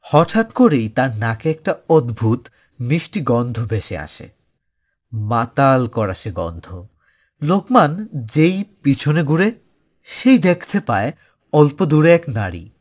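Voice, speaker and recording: Husky, animated male story narrator. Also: medium pitch. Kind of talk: scripted horror story narration.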